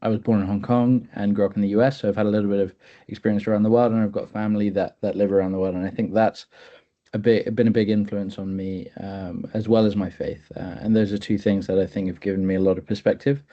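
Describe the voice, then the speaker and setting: Man in his twenties, earnest panelist, low voice, muffled webinar sound. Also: little pitch movement. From England. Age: 20s. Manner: thoughtful, halting, earnest. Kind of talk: personal talk in a webinar. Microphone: video call.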